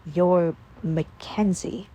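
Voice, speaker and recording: A woman voicing a hurt, stern young man who speaks low and slow. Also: dark tone, husky, lo-fi sound, exaggerated voice. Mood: hurt, cold.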